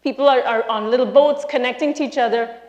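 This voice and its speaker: A woman's voice: a little high, quick pace, muffled recording. Trinidadian woman giving an echoey stage talk. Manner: lively, emphatic, addresses audience directly. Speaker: speaker, university lecturer. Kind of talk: live conference talk. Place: large hall, live audience.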